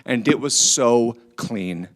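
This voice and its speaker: A man's voice: medium pitch, slow. A middle-aged American man giving an informal motivational talk, telling stories conversationally and often checking in with his listeners. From Iowa, United States. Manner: conversational, animated storytelling, seeks agreement with tag questions. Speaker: speaker at a church family event. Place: church, speaking to a gathered group.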